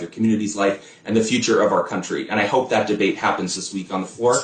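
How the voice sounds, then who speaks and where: Roomy-sounding, earnest American male policy expert. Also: on the low side. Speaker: interview guest, policy expert. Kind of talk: advocacy in a news interview.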